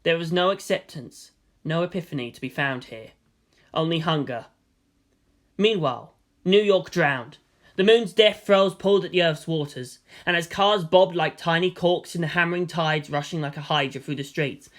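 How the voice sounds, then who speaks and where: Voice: medium pitch, fairly bright tone. A narrator reading fan fiction aloud as scripted drama, with a theatrical, solemn delivery. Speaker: story narrator.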